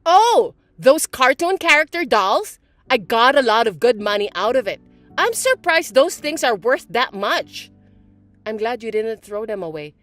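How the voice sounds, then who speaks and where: A woman voicing a bratty, spiteful young stepsister who talks in a shrill, mocking, bossy way. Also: very animated, unhurried, fairly bright tone, faint music behind. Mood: gloating. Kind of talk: voiced text-message drama.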